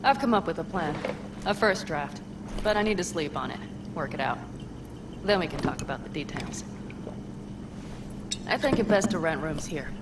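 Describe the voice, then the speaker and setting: A woman's voice: deep voice, expressive, normal speed, long pauses, noisy background. An actress playing a tough, guarded young nomad woman with a blunt, wry, earthy way of talking in a video game drama. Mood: calm, friendly.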